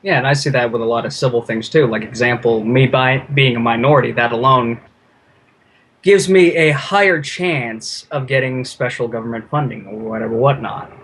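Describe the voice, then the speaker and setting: A man chatting casually as a guest, with informal, halting, colloquial phrasing. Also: mid-range voice, fairly bright tone, breathy edge. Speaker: listener guest. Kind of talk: casual chat.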